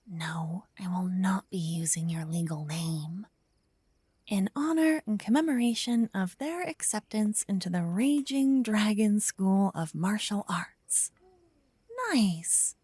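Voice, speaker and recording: A woman playing an earnest, bashful young female paladin in an affectionate fantasy ASMR roleplay, speaking softly and gently to the listener. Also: medium pitch, dry recording. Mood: friendly, curious. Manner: soft, breathy, gently flustered, slightly halting.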